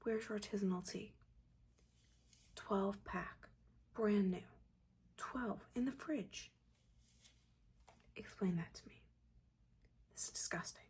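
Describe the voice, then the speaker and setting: A woman voicing a judgmental but caring witch mentor in a breathy, chatty, chiding whisper, in a soft-spoken ASMR roleplay. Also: medium pitch, slow, long pauses. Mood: defensive, scolding. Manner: chatty, chiding, casual slangy asides.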